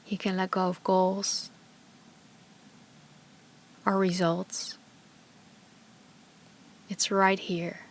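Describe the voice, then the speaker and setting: A woman yoga teacher leading a guided meditation with slow, soothing instructions, sometimes dropping to a soft whisper. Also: mid-range voice, flat delivery.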